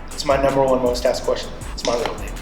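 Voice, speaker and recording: A man's voice: medium pitch, fairly even intonation, fast talker. Emphatic man over music in a roomy space. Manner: emphatic, repeats key points, addresses listeners as guys. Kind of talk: panel talk giving advice to artists. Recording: loud music behind, roomy sound.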